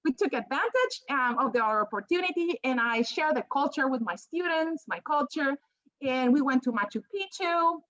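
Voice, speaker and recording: A woman with a strong Peruvian Spanish accent presents a talk over slides on a video call, her voice high and clear. She hesitates often with ums and restarts her phrases. The call audio sounds dry and slightly muffled. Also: lively intonation, moderate pace, fairly bright tone, no room sound. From Peru. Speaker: biology professor.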